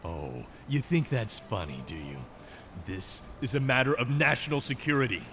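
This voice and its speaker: A man's voice: medium pitch, expressive, moderate pace, fairly bright tone, soft background music. A man playing an indignant, sarcastic government agency official in a drama, confrontational in manner. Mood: scornful. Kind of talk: dramatic dialogue.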